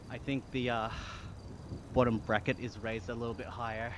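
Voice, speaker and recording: Casual male streamer, Australian accent, mid-range voice, chatty and slangy, talking to chat over clear background noise. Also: medium pitch, little pitch movement, normal speed. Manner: chatty, slangy, reading chat aloud, thanking viewers. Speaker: live streamer.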